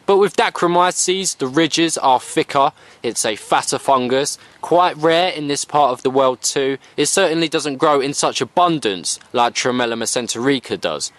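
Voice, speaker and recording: A man's voice: mid-range voice, moderate pace, a bit bright, no echo. A young man with dry, sarcastic asides, narrating a bushcraft video as a scripted voice-over. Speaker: bushcraft vlogger.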